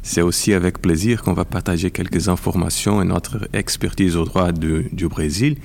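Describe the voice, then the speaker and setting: A Brazilian man in his forties, a lawyer speaking French as a second language with a Brazilian Portuguese accent, in a careful, halting interview manner. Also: low voice, steady tone, gravelly, darkish tone. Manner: careful, halting with repeated words. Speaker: intellectual property lawyer. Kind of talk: podcast interview.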